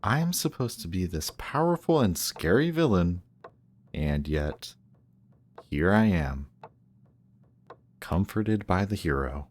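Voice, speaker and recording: A man playing a grumpy, guarded villain who is secretly softhearted, speaking haltingly and defensively in an intimate one-sided roleplay audio. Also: sits low, big pitch swings, slight background noise, heavily acted. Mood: self-doubting, vulnerable. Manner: halting, defensive, reluctantly tender. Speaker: voice actor.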